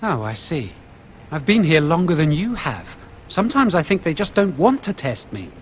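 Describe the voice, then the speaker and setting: An actor playing a friendly but anxious and insecure young apprentice mage in a video game, earnest and worried. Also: male voice, a little high, very animated, normal speed. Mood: hurt, insecure. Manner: earnest, worried, slightly wounded. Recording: game dialogue over faint ambient noise.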